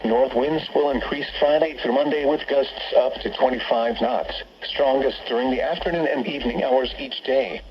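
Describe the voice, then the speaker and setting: A man's voice: mid-range voice, normal speed, few pauses. Dull, noisy radio robotic male weather announcer. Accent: American. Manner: robotic, stilted synthetic phrasing. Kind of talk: scripted weather forecast readout. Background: steady radio background noise.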